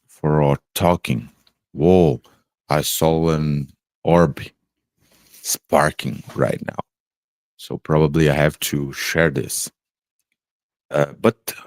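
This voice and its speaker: A man, an English speaker, talking slowly and haltingly about spiritual experiences on a podcast, pausing often to search for words. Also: sits low, darkish tone, no echo. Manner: halting, searching for words, many pauses and fillers. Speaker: podcast co-host. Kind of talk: slow reflective spiritual chat.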